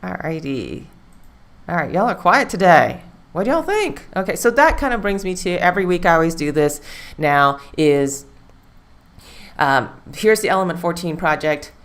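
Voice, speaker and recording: Chatty low-voiced woman host. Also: fairly bright tone. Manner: chatty, rambling with fillers. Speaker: livestream host. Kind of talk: casual live-stream chat.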